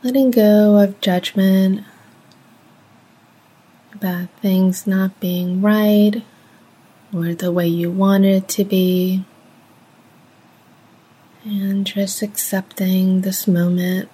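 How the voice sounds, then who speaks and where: Slow, husky woman guiding a meditation. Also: medium pitch, little pitch movement, dark tone. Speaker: meditation guide. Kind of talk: guided meditation, slow instructional delivery with long pauses.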